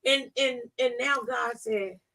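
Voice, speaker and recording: High, bright-voiced woman preaching emphatically. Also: slow, vocal fry, recorded from a distance. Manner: emphatic, preaching, repeats scripture phrases. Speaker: talk show speaker on faith. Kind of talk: conversational religious talk, testimony and scripture.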